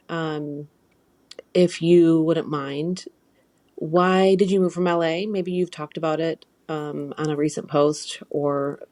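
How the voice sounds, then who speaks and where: A woman's voice: on the low side, darkish tone. An American woman from Michigan leaving a casual voice message as a listener, polite and a little tentative. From Michigan, United States.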